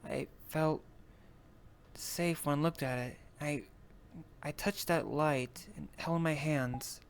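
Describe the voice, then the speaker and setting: A man's voice: on the high side, fairly even intonation, gravelly, a little hiss or hum. A man playing a hesitant, easygoing adventurer. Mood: pensive. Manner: hesitant, halting, searching for words. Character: easygoing, unsure young adventurer with an elemental bracelet. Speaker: roleplay player. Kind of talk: casual improvised in-character roleplay chat. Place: virtual reality voice chat.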